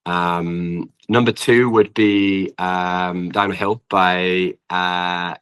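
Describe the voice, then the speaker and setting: A man's voice: low-pitched, fairly even intonation, extremely slow, a bit bright, clean tone. A young British man chatting casually and effusively as a musician guest in an interview, often hesitating and filling with "like". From Britain. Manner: effusive, informal, hesitant phrasing with frequent "like". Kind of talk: casual podcast interview chat.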